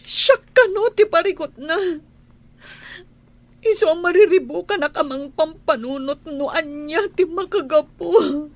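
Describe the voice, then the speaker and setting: Young pregnant wife, high voice, hurt, pleading, emotional, radio drama. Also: female voice, unhurried. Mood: tearful, worried. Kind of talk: Ilocano radio drama acting.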